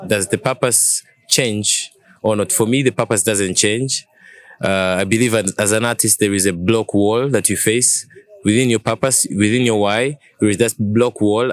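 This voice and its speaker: A man in a casual group conversation, speaking reflectively and haltingly as he sums up thoughts. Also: lowish voice, no room sound.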